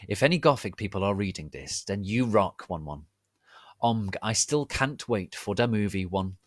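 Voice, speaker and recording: A man's voice: fairly low pitch, animated, normal speed, no echo, clean studio sound. A man giving a theatrical, exaggerated dramatic reading of a fan fiction story. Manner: theatrical, exaggerated, reads typos literally. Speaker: reader.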